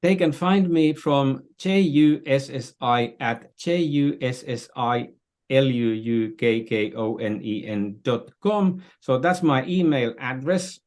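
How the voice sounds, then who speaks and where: A man's voice: medium pitch, takes it slowly, a little mellow. An older man with a Finnish accent speaking as an interview guest, explaining things conversationally and often searching for words and repeating phrases. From Finland. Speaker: interview guest, business strategist.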